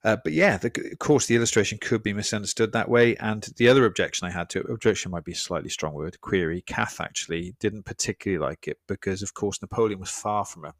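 Deep-voiced thoughtful preacher. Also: male voice, quick pace, few pauses, dry recording, studio quality. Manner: thoughtful, self-deprecating, repeats words. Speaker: preacher discussing his sermon. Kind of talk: casual church podcast chat.

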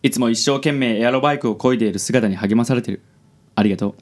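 A suave ladykiller, played by a man with a mid-pitched voice. He is gallant and very pleased with himself, speaking with theatrical cool and the odd English word in radio-comedy style. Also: fast, natural voice. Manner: smooth-talking, gallant, theatrically cool, sprinkles English words. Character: suave, self-admiring handsome man, charming ladykiller. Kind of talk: radio comedy drama acting.

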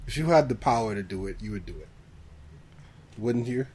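A man's voice: fairly low pitch, lively intonation, fairly quick, darkish tone, slightly rough. A man co-hosting a podcast in casual chat, with a questioning, play-acting way of talking. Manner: questioning, acts out mock dialogue. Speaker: podcast co-host. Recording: noticeable background noise.

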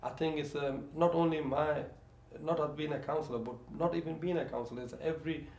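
A man's voice: a little high, steady tone, fairly quick, a little mellow. A Bengali-speaking man who is a local councillor, talking in an interview in a hesitant way, full of fillers and repeated phrases. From Bangladesh. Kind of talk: conversational interview. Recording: echoey room with faint background noise.

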